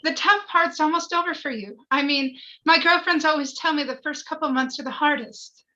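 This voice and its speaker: A woman plays an earnest, baby-hungry wife in a screenplay read. Her voice is high and very bright, polite and gushing. The sound is a little lo-fi. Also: normal speed. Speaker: actor in a live table read.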